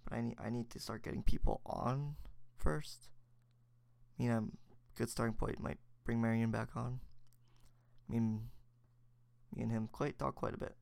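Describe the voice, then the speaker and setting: Young guy rambling on his podcast, kinda gravelly, dry close sound. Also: medium pitch, steady tone, normal speed, a little mellow, no echo. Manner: rambling, many fillers and restarts, reflective. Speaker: podcast host. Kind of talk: solo casual chat.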